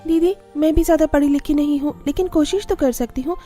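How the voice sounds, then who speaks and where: Wistful young cartoon daughter-in-law female actor, high-pitched and soft-toned, with music underneath. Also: brisk, lightly acted. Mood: earnest, resolute. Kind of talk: Hindi cartoon story acting.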